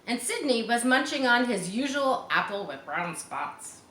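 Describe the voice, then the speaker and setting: A woman reading a children's chapter book aloud, animated, with chatty asides to young listeners. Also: a little high, unhurried, echoey room, low-quality recording. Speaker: reader.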